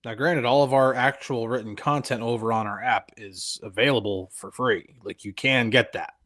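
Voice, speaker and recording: Man, podcast co-host, easygoing, analytical, mid-pitched voice. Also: steady tone, normal speed, fairly bright tone. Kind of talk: live podcast chat and football analysis.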